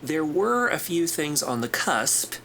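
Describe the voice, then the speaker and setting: A man's voice: a little high, sing-song, slow, bright, forceful tone, a little hiss or hum, full character voice. A man acting an easygoing corporate interviewer in a sci-fi audio drama, informal, tactful and reassuring. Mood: tactful, businesslike. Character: smooth, easygoing corporate interviewer at aerospace company.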